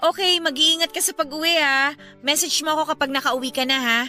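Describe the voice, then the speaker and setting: Female Filipino radio drama role: supportive young best friend, fairly high, very bright voice, chatty and concerned, faint music bed. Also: steady tone, fairly quick. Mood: grateful, friendly.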